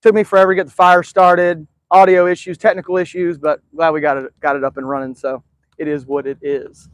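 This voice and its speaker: A man with a fairly high voice talks casually to his viewers from outside in his yard. He rambles in an easygoing way, with plenty of filler words. His voice sounds dry and close, with no room echo. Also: flat delivery, brisk. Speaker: live stream host. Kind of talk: casual live-stream chat. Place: outdoors in a backyard.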